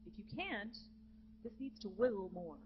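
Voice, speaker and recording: A woman with a naturally low speaking voice teaching a live vocal jazz masterclass to students, lively and encouraging, in a conference hall. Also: moderate pace. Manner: lively, encouraging, demonstrates with sung examples. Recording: dull lo-fi live recording with hum.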